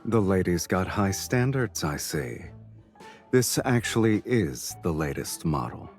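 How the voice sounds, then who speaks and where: A very deep male voice with a lively, varied melody , acted by a man. He is a suave romantic lead from an audio drama, amused and unhurried, teasing as he talks. Music plays behind him.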